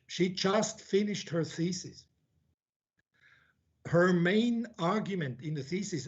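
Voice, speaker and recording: A man's voice: high voice, lo-fi sound. A man who grew up in Switzerland, giving a conference talk as an academic. He speaks bluntly, with many restarts and repeated words as he thinks aloud. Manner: blunt, informal asides.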